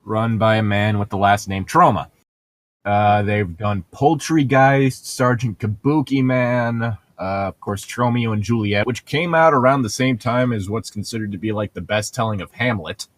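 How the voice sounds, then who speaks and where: A man's voice: lowish voice, moderate pace, no echo. A man co-hosting a podcast in casual comedic chat, teasing and joking with friends over a book. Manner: teasing, jokey, mock-threatening. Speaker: podcast co-host.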